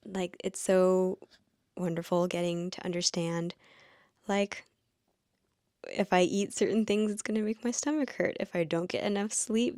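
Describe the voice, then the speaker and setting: A woman's voice: medium pitch, creaky, a little mellow, dry recording, studio quality. A woman in her late twenties musing aloud in a casual vlog, rambling with lots of "like" fillers. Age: late 20s. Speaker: vlogger. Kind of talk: reflective casual monologue.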